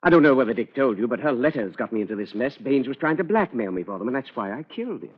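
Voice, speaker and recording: An actor playing a sardonic gentleman rogue in an old radio drama, drawling and facetious. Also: medium pitch, very animated, quick pace, husky. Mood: flippant. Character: flippant, sardonic English gentleman on the run. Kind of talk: 1940s radio drama acting. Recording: dull, lo-fi old radio recording.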